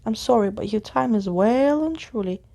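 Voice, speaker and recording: A woman's voice: a little high, animated, normal speed, gravelly, mellow. A woman voicing a pompous, cheerful male living skeleton in a children's storybook, theatrical and boastful. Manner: theatrical, boastful, uses whimsical invented words.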